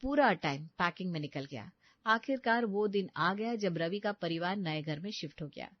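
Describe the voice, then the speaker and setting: A woman's voice: lowish voice, lively intonation, moderate pace, dry recording, dull audio. Woman narrating a story, dry sound.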